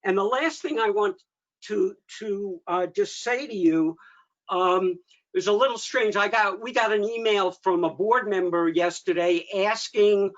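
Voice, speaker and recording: A man with a notably high voice hosts an informal meeting update. He talks conversationally, with dry asides. The sound is dull and lo-fi, like a video call. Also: unhurried. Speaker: meeting host.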